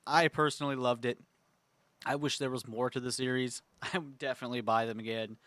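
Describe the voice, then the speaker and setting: A young man hosts a casual gaming video, his voice stuffy and congested, often stopping to clear his throat. He rambles happily through filler words at a middling pitch. The sound is close and dry, with no room around it. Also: fairly even intonation, brisk, fairly bright tone, dry recording. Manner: rambling, filler-heavy, enthusiastic. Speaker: gaming channel host. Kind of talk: casual tier-list chat.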